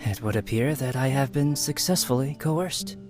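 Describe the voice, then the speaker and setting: A man playing a calm young alchemist in a video game, speaking in a measured, polite and understated way. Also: medium pitch, natural voice. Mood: composed. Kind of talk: video game cutscene acting. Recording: guitar music playing behind the voice.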